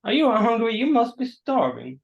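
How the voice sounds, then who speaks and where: A man acting a gentle, awkward, solicitous host in a staged play reading, hesitant and coaxing. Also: extremely high pitch, very animated, normal speed, vocal fry, heavily acted. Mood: concerned, coaxing. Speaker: actor. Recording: dull, muffled sound.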